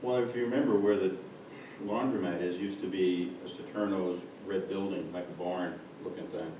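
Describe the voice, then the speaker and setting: A man's voice: low voice, fairly even intonation, moderate pace, highs cut off. A man on a village board, insistent and rambling as he argues a point at a public meeting. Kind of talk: municipal meeting discussion. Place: meeting room, heard from afar. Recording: echoey room, distant mic, noisy.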